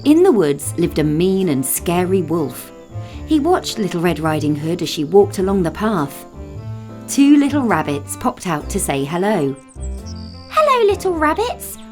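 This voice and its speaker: A woman narrating a children's fairytale from a script as a storyteller, expressive and deliberate. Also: fairly low pitch. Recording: music under the voice.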